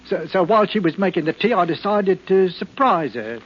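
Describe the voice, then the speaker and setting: Meek, stammering husband, high-pitched. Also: male voice, expressive, fairly quick. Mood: apologetic. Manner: hesitant, stammering, deferential. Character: meek, flustered English husband hiding guilt. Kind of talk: 1940s radio acting. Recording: muffled, noisy 1940s radio recording.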